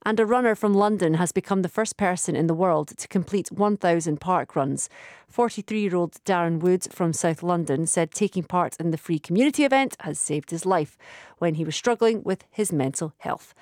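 Brief: female radio host, bubbly with callers, crisp on headlines, lowish voice, dry studio mic. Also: normal speed, a little mellow, dry recording. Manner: bubbly and chatty with callers, then crisp news read. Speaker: radio presenter. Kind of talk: live radio phone-in and news reading. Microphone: studio microphone.

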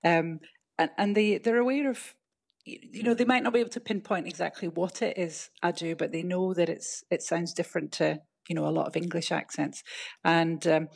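Scottish female academic, mild accent, low gravelly tone, measured guest. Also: steady tone. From Scotland. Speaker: linguistics professor. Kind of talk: radio interview.

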